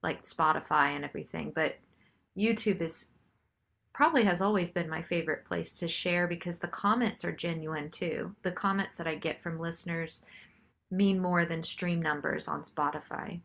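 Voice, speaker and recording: A woman's voice: on the low side, moderate pace, gravelly, fairly bright tone. A woman singer-songwriter chatting casually as an interview guest.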